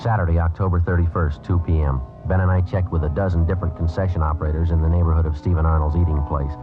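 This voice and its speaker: A man acting as an American police detective in a vintage radio crime drama, speaking very low and fast. He is deadpan, methodical and terse, on a tinny old broadcast recording. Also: loud music behind the voice, lightly acted. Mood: deadpan, factual. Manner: deadpan, businesslike. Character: male police detective, clipped, matter-of-fact, methodical narrator.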